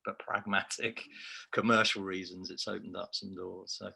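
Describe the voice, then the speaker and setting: A man's voice: low-pitched, flat delivery, moderate pace, vocal fry, bright, forceful tone, husky. A man with a Liverpool accent, an award-winning researcher, giving a reflective, self-deprecating answer in a remote interview. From Liverpool, England.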